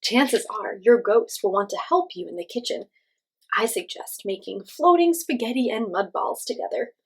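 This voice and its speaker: A woman's voice: fairly high pitch, expressive, normal speed, breathy edge, rough recording. A woman hosting a children's read-aloud, reading a picture book expressively and speaking directly to young listeners with simple questions and explanations.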